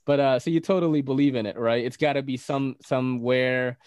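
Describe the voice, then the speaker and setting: Man, Filipino accent, podcast host, casual slangy chat, mid pitch, dry close sound. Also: brisk, smooth, dry recording. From Philippines. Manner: laughs often, relaxed slangy banter.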